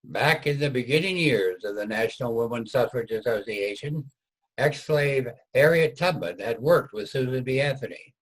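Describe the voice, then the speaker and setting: A man narrates a scripted reader's theater piece. His voice is medium in pitch and somewhat husky. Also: animated, normal speed, rough recording.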